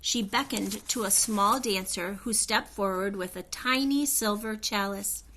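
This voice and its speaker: A woman reading a children's story aloud, in an expressive narrating style. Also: mid-range voice, measured pace, slight background noise, poor audio. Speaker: storybook reader.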